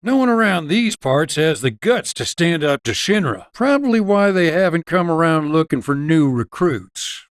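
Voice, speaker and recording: A folksy, wry country doctor from a video game, speaking in an easygoing Southern US drawl. His voice sits a little high for a man and moves up and down expressively. The recording is dry and close. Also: very animated, moderate pace, no echo. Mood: teasing, warm. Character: folksy, wry small-town doctor and researcher. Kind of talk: character dialogue in a video game.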